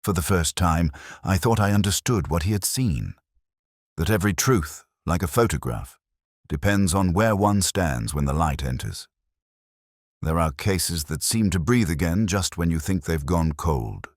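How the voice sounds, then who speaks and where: Brooding, world-weary inspector, played by a man, measured and reflective literary narration, extremely low voice, dry close recording. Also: lively intonation, no echo, exaggerated voice. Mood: somber, reflective. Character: brooding, world-weary English police inspector recounting a case. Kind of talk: literary first-person scripted narration.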